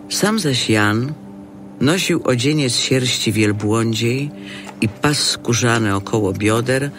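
A man's voice: mid-range voice, a bit bright. A man delivering scripted gospel narration for an audio drama.

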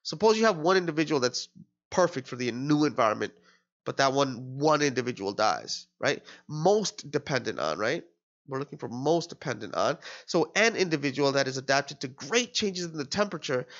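A stuffed-up, nasal man in his thirties explains a test question at his desk, pausing on "uh" and "um" and restarting as he reasons aloud. His voice sits at an ordinary pitch. The recording is dull and low-fidelity, like a webcam mic. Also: lively intonation, fairly bright tone. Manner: thinking aloud with fillers and restarts. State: nasal from a cold. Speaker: tutor.

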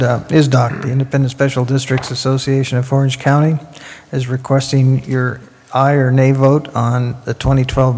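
An older man giving a formal report to a board as general manager, reading from notes at a desk mic in a council chamber. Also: mid-range voice. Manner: reads formally from notes, flat delivery. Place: council chamber, seated at the dais. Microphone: desk gooseneck mic. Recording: echoey council chamber audio.

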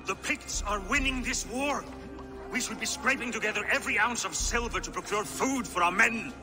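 A man's voice: high-pitched, normal speed, natural voice. An actor playing a stern, practical male war adviser in a video game cutscene. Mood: urgent. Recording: reverberant hall, music behind.

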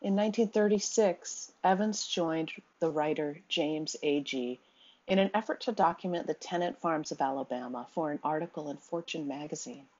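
Woman reading out a slide lecture, low and husky, kinda dull lo-fi sound. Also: darkish tone. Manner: measured, reads quotes aloud, occasional ums.

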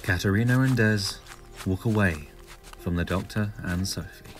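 Male narrator for audio drama, lowish pitch, scripted read over music bed. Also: normal speed.

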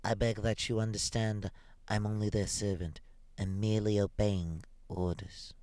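A man voicing an apologetic, formal company messenger, measured and reluctant, in a storybook reading. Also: on the low side, level tone, mellow, dry recording, clean studio sound, lightly acted.

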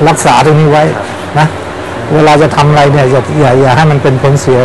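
A man in his sixties, a veteran football coach, talking animatedly in an outdoor interview beside a pitch with a lapel mic. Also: fast talker, noisy background. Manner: animated, exclaims often.

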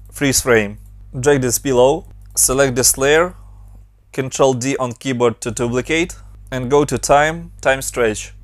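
A young adult man from Ukraine with a Ukrainian accent, giving brisk step-by-step narration for a software tutorial. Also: medium pitch, dry recording. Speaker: motion design tutorial host.

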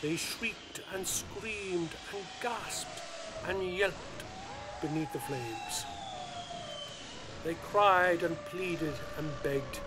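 A man reciting scripted narration for a radio play in theatrical, measured phrases. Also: high-pitched, rough recording. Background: music.

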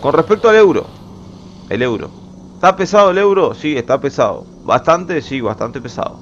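A man's voice: fairly high pitch, expressive, moderate pace, a bit bright. A young man from Uruguay with a Uruguayan Spanish accent, chatting casually and rambling as he plays a truck-driving game at home. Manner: chatty, rambling, restarts words often. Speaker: gaming YouTuber. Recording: slightly dull home recording with faint game noise.

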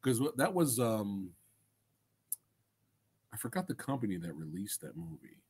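Livestream host: middle-aged man, husky, highly animated, slangy and enthusiastic, loose casual chat. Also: a little slow. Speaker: livestream host and film reviewer. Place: small indoor room crammed with collectibles. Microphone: desk microphone close in front.

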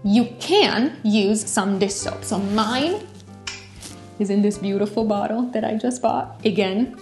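A woman in her thirties talks casually to a camera set up across her home kitchen, relaxed and chatty, sometimes tripping over a word and trying it again. Her voice sits at an ordinary middle pitch. The room gives it a noticeable echo, and soft music plays faintly underneath. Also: measured pace. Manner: chatty and relaxed, with filler words and frequent self-corrections. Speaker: pet care vlogger. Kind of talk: casual how-to talk to camera. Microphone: camera on tripod at a distance.

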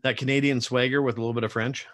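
A man's voice: mid-range voice, level tone, very fast, a bit bright. A man in his forties hosting a casual interview over a video call from a room at home, chatty and rambling with many fillers and some teasing. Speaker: podcast host. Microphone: webcam video call.

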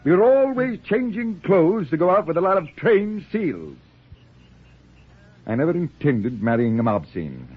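A man's voice: on the high side, big pitch swings, measured pace, husky, exaggerated voice. An actor playing an urbane, whimsical middle-aged father with florid, drily philosophical phrasing, in a tinny 1940s radio drama. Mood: reflective. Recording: tinny, band-limited 1940s radio recording.

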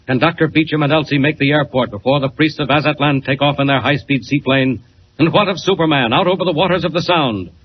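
Theatrical 1940s radio announcer, muffled man. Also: on the high side. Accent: American. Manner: theatrical, suspenseful. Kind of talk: dramatic 1940s radio scripted narration. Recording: dull, hissy 1940s radio recording.

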